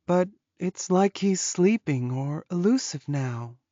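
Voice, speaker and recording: A woman's voice: fairly low pitch, expressive, slow pace, warm tone, dry recording, lo-fi sound. A woman playing an anxious, self-doubting young werewolf in a dramatized audiobook, earnest and pleading. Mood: earnest insistence.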